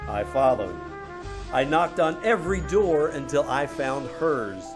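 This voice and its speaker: Male actor as flirty hard-boiled radio detective, wry narrator, higher-pitched voice, live stage sound with music under. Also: animated, unhurried. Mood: wry narrating. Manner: wry, breezy first-person storytelling. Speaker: amateur radio troupe actor. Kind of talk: old-time radio detective drama. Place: small-town theater stage.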